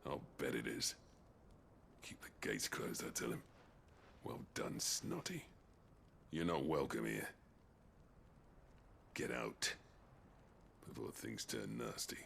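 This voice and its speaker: An actor playing a surly, hostile village chief and smith in a video game, curt and sneering, with a gravelly voice. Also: male voice, animated, slow pace, long pauses, a bit bright, a little hiss or hum, exaggerated voice. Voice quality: gravelly, very deep. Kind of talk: video game drama acting.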